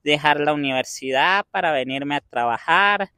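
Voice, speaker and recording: A young man with an Andean Venezuelan accent answers questions in a measured, thoughtful way. His voice sits a little high and sounds bright and forward. The recording is dry, with no room echo. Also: clean tone. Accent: Venezuelan Andean Spanish. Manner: measured, pauses to find words. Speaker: interviewee. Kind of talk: conversational interview answers.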